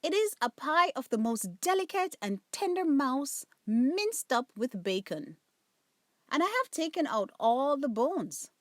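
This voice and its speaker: A woman's voice: fairly high pitch, no echo, studio quality. A woman voicing a genteel, fussy female cat housekeeper in a storybook, prim and precise as she muses to herself.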